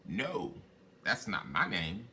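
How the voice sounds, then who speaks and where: A man voicing a sly, insistent little magical man from a fairy tale, making emphatic, deliberate demands. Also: low-pitched, wildly swooping pitch, bright, forceful tone, slight background noise, voice pushed down, exaggerated voice. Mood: firm, demanding.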